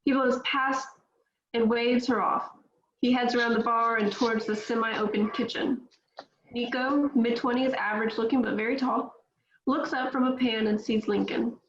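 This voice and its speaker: A woman reading stage directions in a steady, matter-of-fact way at a table read. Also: fairly high pitch, normal speed. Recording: echoey, dull, faint noise.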